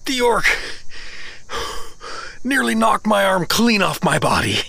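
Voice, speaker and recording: A man's voice: sits high, very animated, takes it slowly, gravelly, bright, forceful tone, no echo, full character voice. A man playing a friendly, out-of-shape middle-aged everyman with a hesitant, rambling, folksy manner in a comic fantasy audio drama. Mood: pained.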